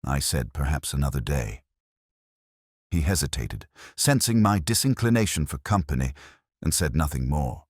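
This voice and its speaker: Guy playing a gloomy world-weary english detective narrating, super deep voice, measured and literary, really dry close studio sound. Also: big pitch swings, darkish tone, dry recording, heavily acted. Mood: melancholy, solitary. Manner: measured, brooding, literary delivery. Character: melancholy, world-weary English male police inspector, reflective and solitary. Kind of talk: scripted first-person narration, literary detective drama.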